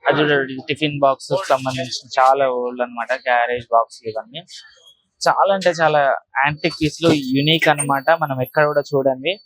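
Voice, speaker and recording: Higher-pitched man giving a casual market tour. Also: a bit bright, dry recording, poor audio. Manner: explanatory, repetitive filler tags, addressing viewers directly. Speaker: vlogger, market tour host. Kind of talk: casual walk-through vlog commentary.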